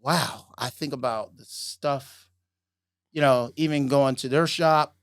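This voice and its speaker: Brief: middle-aged male co-host, raspy today, easygoing chat, mid pitch, dry close mic. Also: fairly even intonation, takes it slowly, dry recording. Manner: laid-back, filler-heavy, laughs often. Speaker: podcast co-host. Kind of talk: casual chat.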